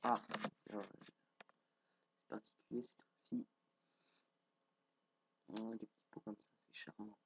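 A man's voice: fairly low pitch, flat delivery, a little slow, pauses often, noisy background. A young man with a cold and a stuffy nose, casually commenting as he builds in a game, thinking aloud with frequent hesitations. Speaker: gameplay video creator.

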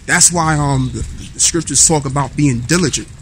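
A man's voice: a little high, normal speed, a little hiss or hum, poor audio. A man in his thirties preaching casually to his camera while walking through a wooded park, reading scripture aloud between loose remarks with fillers. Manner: conversational preaching with fillers, reads verses aloud. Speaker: street preacher vlogger. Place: outdoors in a wooded park path. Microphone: handheld camera at arm's length while walking.

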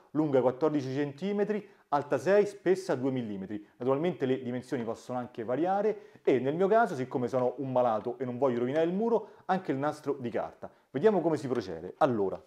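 Man with a muted, mellow voice. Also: mid-range voice. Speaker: host of a DIY smart-home channel. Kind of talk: hands-on tutorial narration to camera. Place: small indoor room at home.

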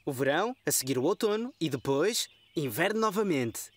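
Uma mulher dá voz a um pai de desenho animado, bem-disposto e brincalhão. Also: female voice, low-pitched, animated, normal speed. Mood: friendly. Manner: friendly, playful. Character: cheerful, playful cartoon father.

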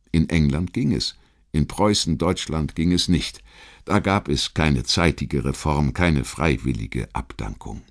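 A man with a standard German accent reading a literary history documentary as a scripted audiobook, in a measured, rhetorical delivery with deliberate emphasis. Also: low-pitched. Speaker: audiobook narrator.

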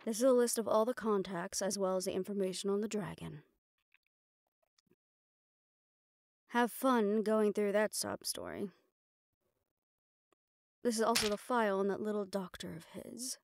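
A woman's voice: medium pitch, natural voice. A woman playing a sardonic, capable personal assistant to a mafia boss in an intimate audio roleplay, speaking in a dry, familiar, businesslike way. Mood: wry, brisk. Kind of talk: intimate scripted audio roleplay, one-sided dialogue.

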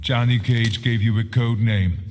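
Stern, deadpan soldier man played by an actor. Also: medium pitch, moderate pace, very noisy, lightly acted. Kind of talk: video game character dialogue. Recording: hall reverb under loud music.

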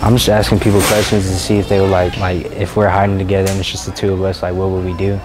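A man's voice: low voice, little pitch movement, fast talker, music in the background. A young man in casual, slangy, joking talk with strangers inside a big store, often saying bro. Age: young adult. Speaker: prankster. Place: inside a large supermarket.

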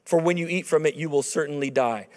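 A man's voice: on the high side, fast. A man in his thirties preaching a chapel sermon into a handheld mic, conversational with emphatic peaks. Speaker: chapel speaker. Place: large dark auditorium stage at a lectern. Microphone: handheld microphone. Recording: long reverb of a large hall.

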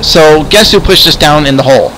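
A man's voice: a little high, expressive, a little fast, a bit bright, slight background noise, low-quality recording. A man playing a friendly adventurer in an improvised Minecraft roleplay, hesitant and often repeating himself. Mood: explaining, curious. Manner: hesitant, repetitive, stammering delivery. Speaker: roleplay video host and player.

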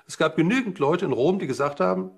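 A man's voice: on the high side, fast, creaky, slightly rough. A man speaking as an interviewed expert, explaining emphatically.

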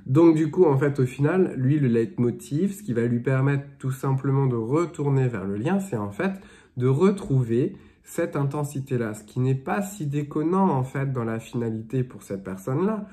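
A man's voice: mid-range voice, animated, moderate pace, warm tone. A man in his thirties with a cold, chatting loosely with many fillers and restarts, then reading aloud from a book, filmed in a small room on a camera close by. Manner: rambling, full of fillers and restarts. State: has a cold. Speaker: spiritual guidance vlogger. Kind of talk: casual chat, then reading aloud from a dream dictionary. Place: small indoor room with bare walls.

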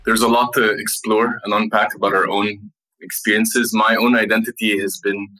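A man's voice: lowish voice, flat delivery, moderate pace, pushed and bright, rough recording. A man hosting a podcast, talking earnestly and reflectively with an old friend and laughing now and then. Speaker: podcast host. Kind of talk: casual interview chat.

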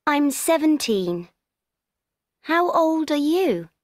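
A woman's voice: sits high, sing-song, slow pace, no echo, clean studio sound, full character voice. A woman voicing a friendly seventeen-year-old girl from Poland in a scripted textbook dialogue, carefully spelling out letters. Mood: plain.

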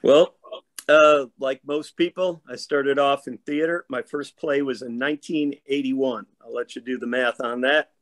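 A man in his fifties with a hoarse voice talks as a guest on a video call from his room at home. He lays his stories out in a measured, detailed way. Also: mid-range voice, steady tone, a bit bright. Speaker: actor guest. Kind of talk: casual interview chat.

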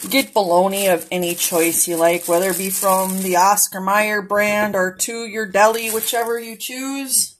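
A woman's voice: mid-range voice, steady tone, normal speed, background noise. Chatty woman cooking at home. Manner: chatty, homey, talks to viewers as y'all. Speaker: cooking vlogger. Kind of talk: casual cooking demo narration. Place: home kitchen, indoors.

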